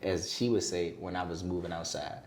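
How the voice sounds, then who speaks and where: A man's voice: low-pitched, little pitch movement, brisk, a little mellow, reverberant, slight background noise. A man in his late twenties with a Washington DC area accent, candidly telling his story in a casual podcast interview, using street slang. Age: 20s. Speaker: podcast guest.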